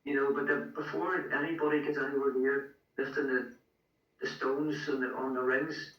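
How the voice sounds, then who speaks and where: A man's voice: medium pitch, level tone, normal speed, reverberant, far from the mic, lo-fi sound. A man as a guest in a conversation, talking earnestly and encouragingly, often repeating words. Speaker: guest, strongman coach. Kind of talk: casual interview chat.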